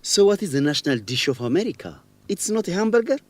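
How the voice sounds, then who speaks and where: A man's voice: sits high, very animated, fast, no room sound. An actor playing a blunt, opinionated, teasing man in an educational radio drama. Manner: blunt, challenging.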